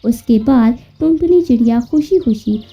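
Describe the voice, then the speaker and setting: High-voiced woman narrating a children's story. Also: lively intonation, moderate pace, mellow. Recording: muffled, distant-sounding, music behind.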